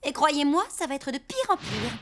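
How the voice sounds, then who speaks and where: A woman's voice: high voice, big pitch swings, normal speed. A woman in a French cartoon dub voicing a grumpy, sarcastic, know-it-all female animal. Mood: exasperated. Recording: background music over the dialogue.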